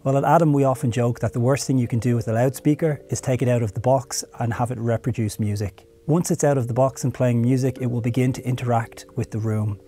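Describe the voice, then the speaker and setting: A man's voice: mid-range voice, fairly even intonation, quick pace, few pauses, warm tone. A man in his thirties hosting a conversational interview and explaining products. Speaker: host, product marketing head. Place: studio room with curtains and audio gear.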